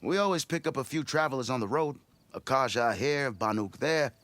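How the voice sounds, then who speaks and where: A man's voice: medium pitch, brisk, fairly bright tone, no echo, natural voice. An actor playing a blustering, self-important expedition leader in a video game, with a theatrical, wheedling salesman's patter. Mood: persuasive. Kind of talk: video game cutscene dialogue.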